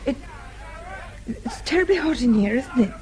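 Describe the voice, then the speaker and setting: High-voiced, fretful young British woman. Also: expressive, normal speed, a little mellow. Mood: anxious, panicky. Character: naive, highly strung young British woman. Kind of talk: radio drama acting.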